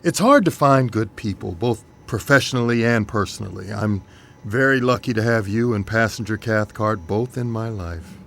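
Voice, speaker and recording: A woman playing a lovestruck ship captain facing retirement in an audio comedy drama, with a melodramatic, deliberate delivery. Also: very deep voice. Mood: sincere.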